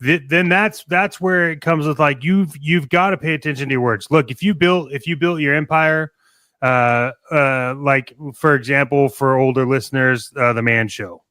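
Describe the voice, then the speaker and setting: A man's voice: mid-range voice, steady tone, normal speed, sharp tone, no echo. A male podcast co-host speaks in a mid-range voice. He is casual and opinionated, often doubling back on his words as he works through a point. The recording is close, with very little room sound.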